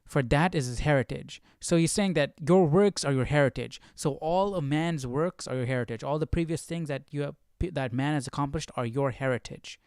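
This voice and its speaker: A man hosting a live Bible study in a casual, questioning way, thinking out loud as he reads and comments. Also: on the high side, normal speed, few pauses, smooth, no room sound, studio quality.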